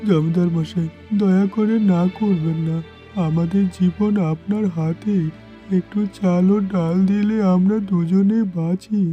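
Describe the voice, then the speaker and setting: A man voicing a poor, desperate village farmer in a cartoon, pleading and haltingly repeating his words. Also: high voice, fairly even intonation, dull audio, soft background music.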